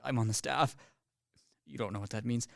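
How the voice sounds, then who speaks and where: A man's voice: medium pitch, fairly even intonation, moderate pace, creaky, background noise, natural voice. Man playing a weary, mild-mannered soldier. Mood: tired, resolute. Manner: apologetic, mild, wry, with sighs and hesitations. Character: weary, practical professional soldier, politely self-deprecating. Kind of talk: radio play acting.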